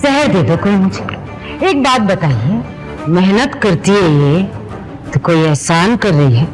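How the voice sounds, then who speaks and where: A woman's voice: lowish voice, sing-song, slow, soft background music. A woman playing a sarcastic, money-grubbing middle-aged stepmother who scolds theatrically in an audio serial melodrama. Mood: indignant, scornful.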